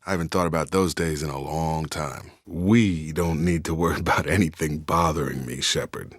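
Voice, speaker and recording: A man playing a steady, loyal space soldier in a video game, plain-spoken and guarded, with clipped military delivery. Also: extremely low pitch, normal speed, breathy edge, dry recording, clean studio sound, full character voice. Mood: calm, guarded. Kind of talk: video game character dialogue.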